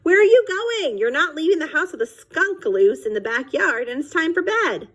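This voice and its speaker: A woman voicing an excitable, fussing mother of a young girl in a children's storybook, with exclamatory delivery. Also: sits high, normal speed, voice pushed up. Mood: scolding. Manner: exclamatory, scolding and marvelling.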